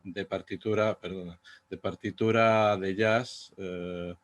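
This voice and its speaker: Male playwright, native Spanish speaker with a Spanish accent, very deep flat voice, halting delivery in a Q&A. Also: highs cut off. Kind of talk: post-show Q&A talk.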